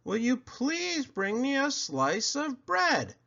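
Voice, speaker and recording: Man doing a high-pitched, insistent mother rabbit. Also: wildly swooping pitch, creaky, sharp tone, dull audio, full character voice. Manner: emphatic, drawn-out repeating. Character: insistent mother rabbit asking her son.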